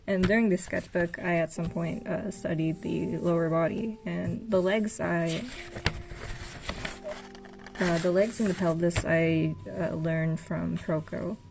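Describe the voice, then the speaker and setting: A woman's voice: fairly low pitch, vocal fry. A young woman touring her old sketchbooks in a casual, hesitant way, full of fillers, her voice getting tired. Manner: soft-spoken, hesitant, lots of fillers. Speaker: art vlogger. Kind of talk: casual sketchbook tour narration. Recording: voice over background game music.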